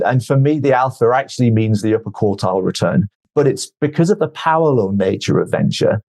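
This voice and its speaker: A man being interviewed as a venture investor, explaining his points in a measured way and often repeating words as he starts a sentence. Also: mid-range voice, darkish tone. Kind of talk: podcast interview answers.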